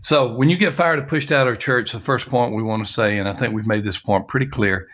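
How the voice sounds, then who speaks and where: An older man hosting a casual podcast over a video call, measured and affirming with a wry touch. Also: medium pitch, a bit bright. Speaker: podcast host. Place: home study, indoors.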